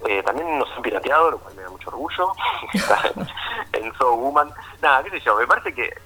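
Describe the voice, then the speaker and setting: A man's voice: medium pitch, wildly swooping pitch, a little fast, bright, forceful tone, husky. A man with an Argentine accent answers casually as a filmmaker in an interview over a phone line, explaining informally and checking with little tag questions. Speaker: documentary filmmaker being interviewed.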